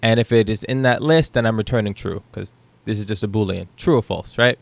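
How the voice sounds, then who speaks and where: A man's voice: lowish voice, fairly quick, creaky, no room sound. Young man explaining code, dry sound. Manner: relaxed, thinking aloud with ums and rights. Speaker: programming tutor. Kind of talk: casual screen-share code walkthrough. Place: small room at home.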